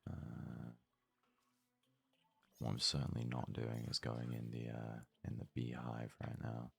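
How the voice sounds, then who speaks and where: A man giving hushed, unhurried ASMR gaming commentary, murmuring as if thinking aloud in a husky, breathy voice. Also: very deep voice, monotone. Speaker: let's play host.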